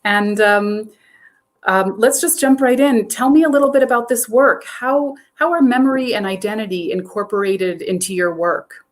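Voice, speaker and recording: A woman's voice: mid-range voice, fairly even intonation, moderate pace. Curator host: woman, encouraging, easy questions with a few ums. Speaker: curator and interviewer. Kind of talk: museum talk introduction and interview.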